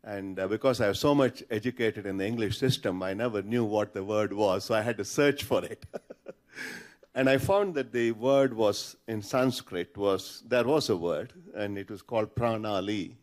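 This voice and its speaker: Man, from India, slightly low pitch, audience member speaking at a conference. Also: normal speed. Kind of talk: conference anecdote.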